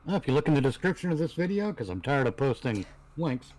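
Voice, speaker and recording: Guy on a stream, normal pitch, casual, kinda stop and start talking. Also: very animated, quick pace, a little mellow, poor audio. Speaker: livestream guest promoting own show. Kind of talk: casual chat.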